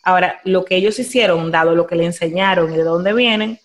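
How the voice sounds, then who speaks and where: A young Dominican woman with a Dominican Spanish accent, hosting a podcast in animated casual chat, slipping into English phrases. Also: on the low side, fairly quick. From Dominican Republic. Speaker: podcast host.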